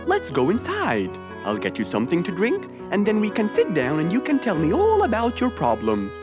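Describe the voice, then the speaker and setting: A woman's voice: mid-range voice, wildly swooping pitch, moderate pace, music in the background. A woman voicing a kind, helpful male fox in a children's cartoon, with an exaggerated, reassuring, sing-song delivery.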